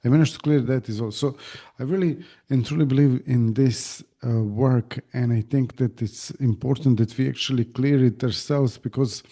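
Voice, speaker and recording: Mellow-voiced male podcast host. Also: medium pitch, moderate pace. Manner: hesitant, many fillers and restarts, enthusiastic. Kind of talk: interview host chat.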